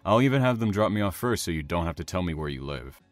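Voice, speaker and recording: An actor playing a polite, reserved, slightly awkward man with formal, measured speech in an audio drama. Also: low voice, expressive, dry recording, faint music behind. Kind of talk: naturalistic audio drama acting.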